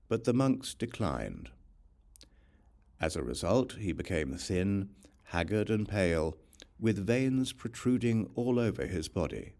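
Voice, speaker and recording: Very low-voiced male audiobook narrator, expressive. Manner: measured, formal recitation. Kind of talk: scripted narration of a scripture translation.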